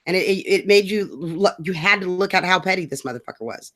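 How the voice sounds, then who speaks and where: A woman's voice: on the low side, expressive, quick pace, a bit bright. Casting: woman co-host, exasperated and slangy, swears freely in loose, chatty rants. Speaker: co-host of political rant show. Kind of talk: casual chat, profane political ranting.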